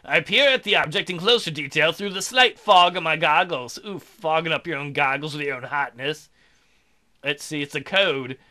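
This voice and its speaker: A man's voice: fairly high pitch, normal speed, sharp tone. A man hosting a let's play, reading a visual novel aloud and breaking in with irreverent, playful, mocking asides.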